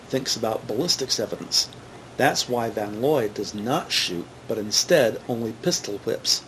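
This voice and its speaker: A man narrating a scripted crime drama in a measured, matter-of-fact way. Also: mid-range voice, slight background noise, low-quality recording. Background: music.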